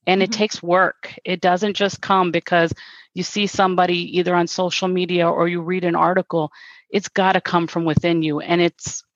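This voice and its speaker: Reflective woman guest, sincere and steady, dull remote sound. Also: lowish voice, no echo. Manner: earnest, reflective, repeats words when restarting. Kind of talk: conversational interview.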